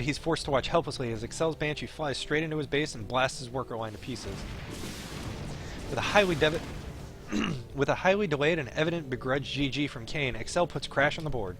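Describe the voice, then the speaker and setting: A man's voice: mid-range voice, a bit bright. A man reading a visual novel aloud on a stream, in dramatic narration with an esports commentary flavour. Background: faint game music.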